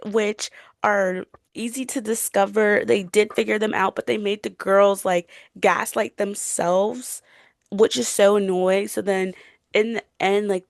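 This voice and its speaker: A woman's voice: medium pitch, gravelly, no room sound, clean studio sound. A young woman co-hosting a casual book chat in an informal, emphatic, opinionated way. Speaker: book podcast co-host.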